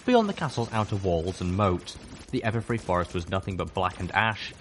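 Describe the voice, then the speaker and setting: Deep-voiced man reading a story. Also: slight background noise. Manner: steady, measured reading. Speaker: audiobook reader. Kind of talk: first-person fiction narration. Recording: music playing underneath.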